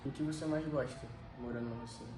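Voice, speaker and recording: A man with a Brazilian accent asks prepared interview questions. He sounds set back from the microphone in an echoey space, with music clearly audible behind him. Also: mid-range voice, fairly even intonation, fast, dark tone, far from the mic. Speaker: student interviewer.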